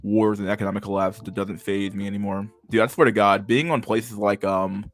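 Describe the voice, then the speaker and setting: A man in his twenties with a stammer and a lisp, chatting casually to his livestream chat, halting and restarting words and swearing freely. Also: fairly low pitch, steady tone. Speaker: livestream host reading chat.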